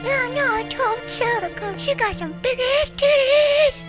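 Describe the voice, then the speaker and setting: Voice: very high-pitched, normal speed, over loud music. A voice actor playing a crude, bossy teenage boy throwing a party, talking in brash slang. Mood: hyped.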